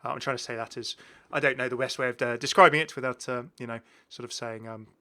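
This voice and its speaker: A man's voice: medium pitch, fairly even intonation, fast, pushed and bright. A British man giving casual, enthusiastic game commentary, rambling and often correcting himself as he goes. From United Kingdom. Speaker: gaming video host.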